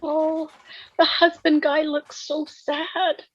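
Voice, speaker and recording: A woman's voice: high-pitched, little pitch movement, slow pace, lo-fi sound. A woman in an amateur table read playing a sassy but sympathetic female ghost. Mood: pitying. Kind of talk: amateur table read acting over video call.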